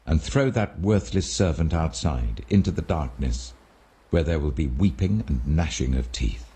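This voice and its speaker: A man plays a stern, wealthy English master in a scripture audiobook. The voice is extremely deep and husky, commanding and measured, with scorn in the way judgement is passed. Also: expressive, warm tone, heavily acted. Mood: stern, condemning. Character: stern wealthy male master judging his servant.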